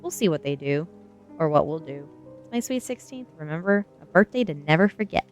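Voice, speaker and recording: A woman's voice: sits low, animated, brisk, soft background music, voice pushed down. A woman voicing a rebellious, sardonic sixteen-year-old goth girl in an audiobook, dry and defiant. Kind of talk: audiobook character voice.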